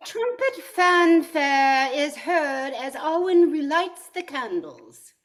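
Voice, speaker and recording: A woman plays a minstrel narrator in a storybook radio play. Her high voice moves through a very lively range at an unhurried, theatrical pace. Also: full character voice. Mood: storytelling. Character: female minstrel narrating a fairy-tale comedy.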